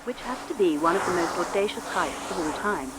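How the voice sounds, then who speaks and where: An actress playing a composed newsreader in a comedy radio play. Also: female voice, lowish voice, expressive, a little fast, very noisy, lightly acted. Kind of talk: scripted news reading.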